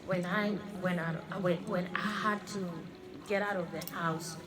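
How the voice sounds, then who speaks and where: A woman in her thirties, born in Congo and raised in Australia, giving an earnest personal religious testimony and often halting to repeat her phrases. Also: fairly low pitch, steady tone. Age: 30s. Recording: music playing under the voice. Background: clear background music.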